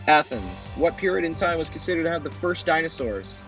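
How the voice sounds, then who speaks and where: A man's voice: on the high side, very animated, brisk, fairly bright tone, low-quality recording. A man hosting a quiz show, addressing contestants directly in a presenter's delivery. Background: background music.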